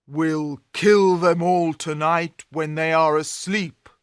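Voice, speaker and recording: A man's voice: on the high side, steady tone, slow, clear, resonant tone, no room sound, studio quality. A man voicing an angry, scheming bandit chief in a commanding, menacing manner for a storybook. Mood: plotting, commanding.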